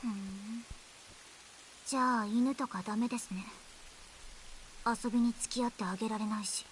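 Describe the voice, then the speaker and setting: A woman plays a blunt young girl from a Japanese visual novel. Her voice sits fairly high and she talks fast, in a curt but polite way, keeping strangers at a distance. Clear hiss runs behind the streamed game audio. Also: steady tone, natural voice. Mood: cool, blunt. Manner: curt, casually polite speech.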